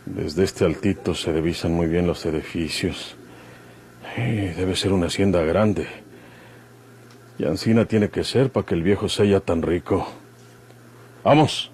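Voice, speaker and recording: An actor playing a bold, hot-tempered young outlaw with a rural Mexican accent and an emphatic rustic manner, in an old radio drama. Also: male voice, low-pitched, a little slow, pauses often, a little mellow, a little hiss or hum. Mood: musing aloud. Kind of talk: golden-age Mexican radio drama acting.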